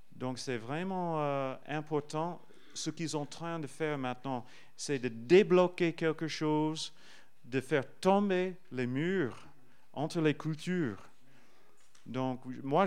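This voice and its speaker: A man addresses a church in an earnest, unscripted way. His voice is clean and smooth, and he talks slowly, haltingly, often repeating a phrase before moving on. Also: mid-range voice. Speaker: church speaker introducing a visiting team.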